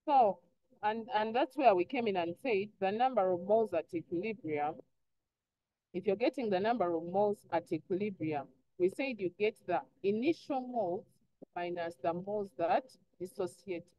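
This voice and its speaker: A woman's voice: mid-range voice, moderate pace, no room sound. A woman teacher explaining step by step in an online lesson, instructive, often posing prompting questions and answering them herself. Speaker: chemistry teacher. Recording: dull lo-fi online class audio.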